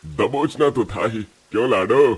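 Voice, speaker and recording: A man acts a menacing young village thug in a drama story. His low, husky voice leers and taunts. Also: a little slow. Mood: leering.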